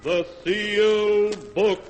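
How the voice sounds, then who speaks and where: Slow, ominous vintage horror radio host. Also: male voice, high voice, steady tone, over loud music. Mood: grandly foreboding. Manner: theatrical, ominous, solemn delivery. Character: solemn, ominous male host of a horror tale anthology. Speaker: announcer and host. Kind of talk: 1940s radio horror narration. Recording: noisy vintage 1940s radio, long reverb.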